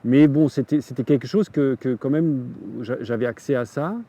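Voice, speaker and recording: A man's voice: medium pitch, moderate pace, warm tone, distant mic. A middle-aged man from southern Germany, a curator giving reflective interview answers, often hesitating and restarting. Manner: hesitant, many fillers and restarts. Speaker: artist and biennial curator.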